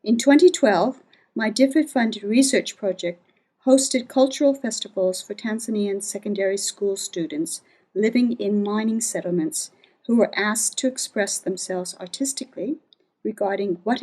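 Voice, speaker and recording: A woman's voice: medium pitch, moderate pace, dark tone, rough recording. A woman academic narrating a prepared first-person account of research. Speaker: academic researcher, economic geographer.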